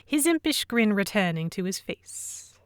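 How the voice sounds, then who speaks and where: A woman's voice: medium pitch, very animated, a little slow, smooth, no echo, studio quality. Woman narrating a dramatic story. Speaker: narrator and lead character of an audio drama. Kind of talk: scripted first-person story narration.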